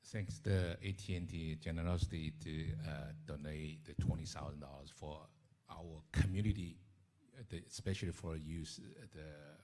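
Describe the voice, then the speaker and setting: A man with a Mandarin accent, a council member giving a halting speech at a community event, searching for his words. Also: rumbling low, steady tone, mellow.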